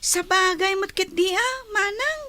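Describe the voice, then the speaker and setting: Very high, bright little sister played by a woman. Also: a little slow, dry recording, heavily acted. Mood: eager. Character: eager, affectionate younger sister. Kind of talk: family audio drama dialogue.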